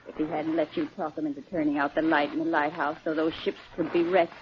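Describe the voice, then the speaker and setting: An actress playing a bitter, defiant captive widow in an old radio crime drama. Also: female voice, sits low, little pitch movement, quick pace, natural voice. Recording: dull old radio recording, faint noise.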